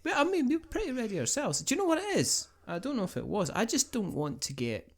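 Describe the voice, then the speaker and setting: A man hosting a podcast in casual chat, with a jokey, rambling and animated way of talking. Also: very high voice, fast talker. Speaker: podcast host.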